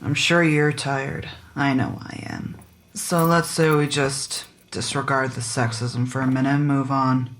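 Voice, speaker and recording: A small-town sheriff in an audio drama, tired and wry. She speaks slowly in a low register, joking dryly and teasing. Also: animated, full character voice. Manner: dry, unhurried, joking.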